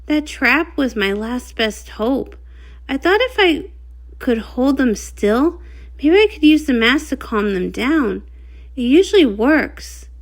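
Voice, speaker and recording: A self-assured swamp healer mare from a comic read-along, acted by a woman. She talks in a folksy, matter-of-fact way, slowly and with animated pitch. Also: heavily acted. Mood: weary, worried. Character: confident, plain-spoken folksy mare, swamp healer and mage.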